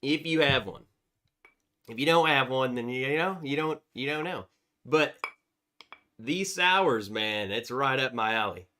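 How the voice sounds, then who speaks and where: Lively congested man hosting a livestream. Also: medium pitch, a little slow, pauses often. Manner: reads viewer chats aloud, chatty and upbeat. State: congested from a cold. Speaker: livestream host. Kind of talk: casual livestream chat.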